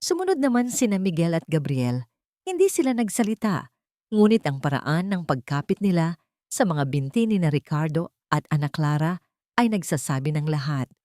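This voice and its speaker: A Filipino-accented woman narrates a scripted drama. Her voice sits a little low and moves in lively swings, with a theatrical storyteller's delivery. The recording is very dry. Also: normal speed, no room sound. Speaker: audiobook narrator.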